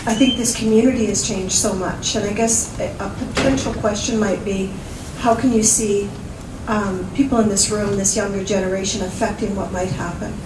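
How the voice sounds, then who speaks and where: A loud-voiced woman speaks up from the audience, winding her way toward a question. She is far from the mic. The room echoes around her, with noise beneath. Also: medium pitch, steady tone, normal speed. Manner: loud, rambling. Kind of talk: question from the floor. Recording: roomy, distant, noisy.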